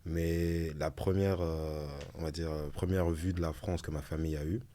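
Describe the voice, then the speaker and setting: A man's voice: a bass voice, steady tone, dark tone. A man hosting a round-table podcast, talking casually with loose restarts. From Antilles. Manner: quick, loose talk with many restarts. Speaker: podcast host.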